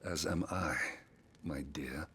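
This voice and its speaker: An actor playing a middle-aged archduke in a fantasy game, with a measured, regal, dry manner. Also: male voice, extremely low pitch, fairly bright tone, exaggerated voice. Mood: weary, firm. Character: middle-aged archduke father, weary, firm, commanding. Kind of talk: cinematic fantasy game acting.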